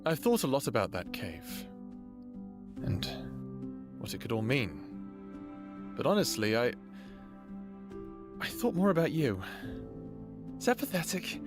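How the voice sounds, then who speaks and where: An earnest, lovestruck human in an audio drama. He speaks very slowly and haltingly, with a tender sincerity. Music plays clearly behind his voice. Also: mid-range voice, sing-song. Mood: earnest, hesitant, then shy, yearning. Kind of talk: first-person audio drama acting and narration.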